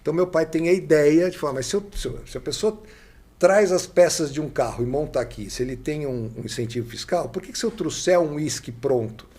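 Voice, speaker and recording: Podcast guest, male, 60s, São Paulo accent. Raspy edge, slightly raised pitch, emphatic conversational storyteller. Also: fairly quick, a little mellow. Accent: Brazilian, São Paulo. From Brazil.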